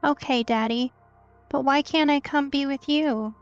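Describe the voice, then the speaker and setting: Audio drama role: actress as meek, childlike vampire daughter, high-pitched and plaintive, muffled lo-fi sound over music. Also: female voice, a little slow. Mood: plaintive, lonely. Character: hungry, meek young vampire daughter, obedient and clinging. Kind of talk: dramatic audio theatre acting.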